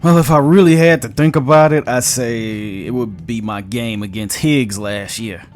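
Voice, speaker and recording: A man voicing a blunt, jaded male pro football player who talks gruff and profane. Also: mid-range voice, moderate pace, darkish tone, faint music behind, lightly acted. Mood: reflective.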